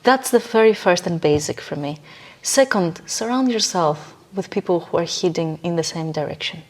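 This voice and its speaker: A woman's voice: on the low side, moderate pace. A woman speaking as a podcast guest and coach in a conversational, motivational way, stressing key words emphatically.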